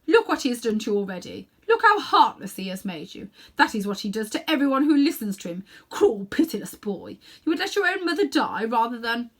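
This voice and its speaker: A woman's voice: on the high side, big pitch swings, a little fast, hardly any pauses. Lady doing a scornful tempting witch for a kids book, raised voice, pushy and imperious. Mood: scornful accusing. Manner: imperious, insistent persuasion. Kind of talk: children's storybook character voice.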